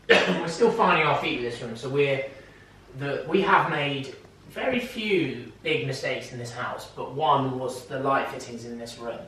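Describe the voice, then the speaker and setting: Croaky man in an echoey room. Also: medium pitch, unhurried, pushed and bright. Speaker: vlogger's partner. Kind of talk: casual chat. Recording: roomy, echoey sound.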